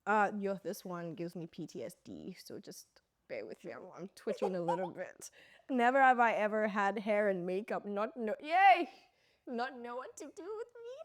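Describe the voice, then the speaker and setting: A woman's voice: medium pitch, very animated, normal speed, dry recording, studio quality. A woman hosting a podcast in casual chat, emphatic and blunt, laughing often. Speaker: podcast host.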